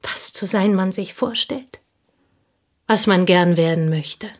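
An actress playing a plain, bitter woman in a radio play, confiding old envy in an intense, intimate way that often slips into breathy whispers. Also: medium pitch, takes it slowly, lightly acted. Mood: scornful, intense. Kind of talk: German radio play dialogue, intimate monologue.

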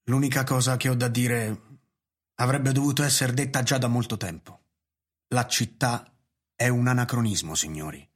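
An actor playing a resolute, nostalgic town official in a radio drama, speaking with solemn deliberation. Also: male voice, medium pitch, moderate pace, natural voice. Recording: radio play with music behind. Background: music.

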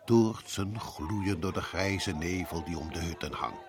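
A man narrating a radio drama scene in a measured, descriptive way. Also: deep voice, over music.

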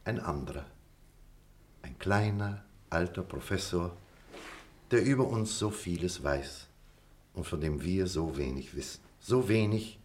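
A man acting a thoughtful, self-critical middle-aged poet in a literary radio play, speaking in a measured, eloquent, earnest way. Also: low voice.

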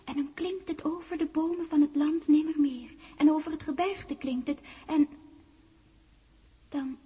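High, mellow, dreamlike young woman. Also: fairly even intonation, unhurried. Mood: wistful, haunting. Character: dreamlike young woman from a man's memory, tender, teasing. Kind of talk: 1960s radio drama acting.

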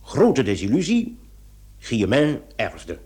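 A man's voice: medium pitch, huge pitch swings, slow, a little mellow. A man playing a shrewd police commissioner in a radio play, explaining things methodically and with assurance. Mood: assured reasoning.

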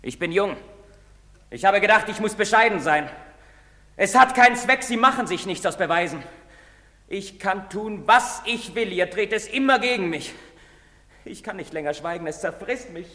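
An actor playing a bitter, accusing young apprentice of twenty in a radio drama. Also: male voice, sits high, animated, normal speed, sharp tone. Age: 20s. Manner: bitter, accusing outbursts, trembling. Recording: long hall reverb.

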